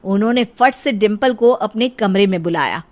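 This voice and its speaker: A woman narrating a cartoon story in a lively storytelling manner. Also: mid-range voice, moderate pace.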